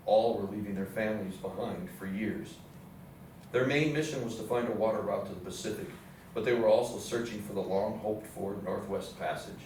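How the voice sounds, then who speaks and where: A man narrates a scripted history play on stage in a fairly low voice. He sounds far from the mic in a strongly reverberant room, with faint noise underneath. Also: a little mellow. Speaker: narrator in a readers theatre play. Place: live readers theatre performance in an echoey indoor space.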